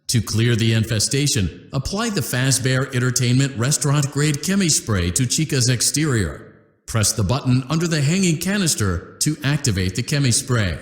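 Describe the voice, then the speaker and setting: Cheerful corporate training instructor. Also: male voice, medium pitch, echoey room. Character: upbeat corporate male repair-training instructor. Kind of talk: scripted game instructions.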